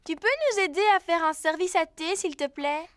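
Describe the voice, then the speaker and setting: A woman's voice: extremely high pitch, lively intonation, normal speed. A woman voicing a small, playful young animal child in a cartoon, eager and sweet. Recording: cartoon soundtrack with music.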